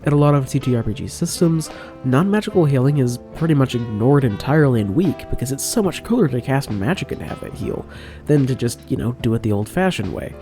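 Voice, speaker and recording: A man's voice: mid-range voice, animated, fairly quick, mellow, faint music behind. Congested man explaining game rules. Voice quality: nasal. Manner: enthusiastic, plain explaining. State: congested from a cold. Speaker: game guide creator. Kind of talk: explanatory voice-over over slides. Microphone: new microphone.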